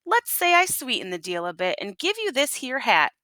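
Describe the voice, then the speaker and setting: A woman voicing a fast-talking male coyote in a Western storybook, persuasive, with a folksy cowboy drawl. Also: on the high side, very animated, sharp tone, no echo, clean studio sound, voice pushed up. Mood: wheedling.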